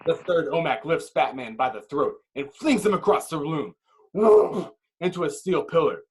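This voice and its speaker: A man's voice: fairly high pitch, normal speed, a little mellow, a little hiss or hum. A man narrating a screenplay's action with intense, clipped dramatic phrasing. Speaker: narrator reading stage directions.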